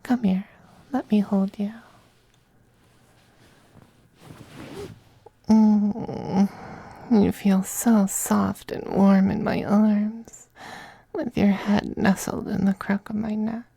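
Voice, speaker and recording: A performer voicing a possessive, androgynous male vampire master in an ASMR roleplay, soft, breathy and often whispering, coaxing and condescending. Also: mid-range voice, level tone, dry recording, heavily acted. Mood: doting, possessive. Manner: slow, purring, coaxing, condescending. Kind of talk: ASMR roleplay, intimate scripted monologue.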